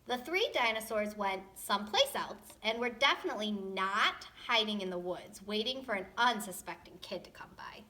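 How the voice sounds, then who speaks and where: A woman reading a storybook aloud to children in an expressive, playful, tongue-in-cheek way. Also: medium pitch, normal speed, hardly any pauses.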